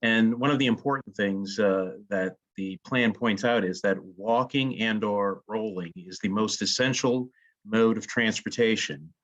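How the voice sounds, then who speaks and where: A man presenting slides in an online meeting, semi-scripted, with hesitant, frequent pauses. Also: lowish voice, fairly bright tone, lo-fi sound. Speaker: co-host, presenter.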